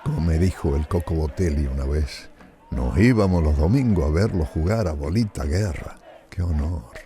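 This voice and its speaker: An elderly man with a northern Argentine accent tells stories in a low, mellow voice. He narrates like an author reading his own memories, adding small conversational asides. Music plays behind him. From Salta, northern Argentina. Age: 70s. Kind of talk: storytelling narration of family memories.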